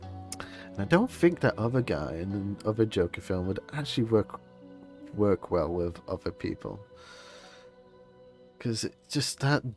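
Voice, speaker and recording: A man's voice: lowish voice, big pitch swings, unhurried, long pauses, darkish tone. A man giving casual, rambling, laid-back live commentary as he streams a game. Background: faint game music.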